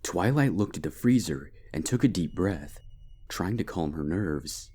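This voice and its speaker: A man giving a dramatic scripted narration of a dark fantasy story. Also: deep voice, animated, measured pace, husky. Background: background music.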